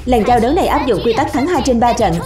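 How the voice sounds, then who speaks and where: Woman voicing a high-pitched formal cartoon tournament announcer. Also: quick pace, close to an everyday voice. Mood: formal, excited. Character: formal tournament announcer calling spinning-top battles. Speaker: match announcer. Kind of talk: dubbed cartoon tournament commentary. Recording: roomy echo, music behind. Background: music.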